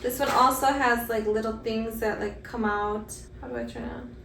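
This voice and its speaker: A woman's voice: on the high side, fairly even intonation, moderate pace, background noise. An American woman vlogging casually to her viewers in a chatty, rambling way, recorded at home. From United States. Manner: chatty, friendly, rambling asides. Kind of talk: casual vlog talk to camera. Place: home indoors at night.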